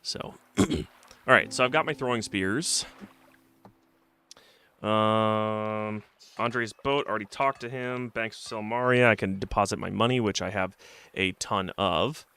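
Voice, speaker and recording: A man's voice: lowish voice, measured pace, pushed and bright, clear, resonant tone. A man chatting casually while playing a game on stream, slightly stuffy from a cold and rambling as he thinks aloud. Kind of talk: casual let's-play commentary. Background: game music.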